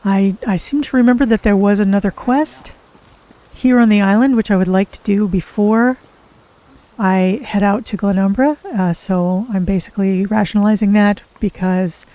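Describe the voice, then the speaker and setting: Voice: medium pitch, moderate pace, dark tone, no room sound, rough recording. A game streamer narrating a story recap casually to viewers in a thoughtful, hesitant manner.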